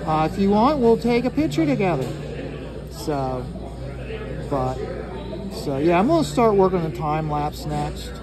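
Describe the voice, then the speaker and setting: A man talking casually and ramblingly as he draws, halting often and repeating his phrases. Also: high voice, big pitch swings, a crowd in the background. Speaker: artist hosting a drawing livestream or video. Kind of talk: casual rambling talk while drawing.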